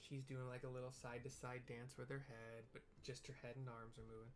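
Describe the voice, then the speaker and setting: A man's voice: medium pitch, flat delivery, brisk, a little hiss or hum. A man giving casual, descriptive commentary on a video game in an informal, meandering way.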